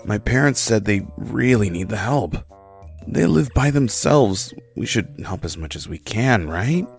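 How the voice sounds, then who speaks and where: An actor playing a meek, people-pleasing young husband who talks in a hesitant, pleading way, with faint music behind. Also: male voice, lowish voice, animated, measured pace, vocal fry.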